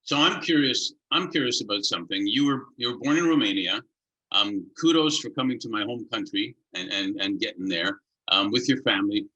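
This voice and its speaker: Bright-voiced male interviewer in an echoey room. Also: lowish voice, a little fast, muffled recording. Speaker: interviewer, host. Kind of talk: casual interview chat.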